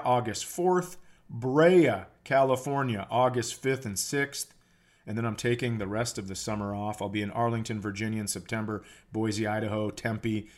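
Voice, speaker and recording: American man, joking podcast comedian. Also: mid-range voice. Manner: profane, joking. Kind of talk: casual comedic podcast chat.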